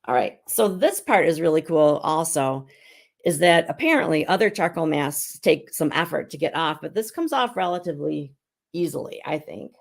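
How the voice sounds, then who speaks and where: A middle-aged woman who is hoarse while getting over an illness, chatting casually as she hosts a live skincare demonstration at home. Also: deep voice, expressive, moderate pace. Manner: chatty and rambling, with frequent restarts. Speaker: beauty consultant and show host.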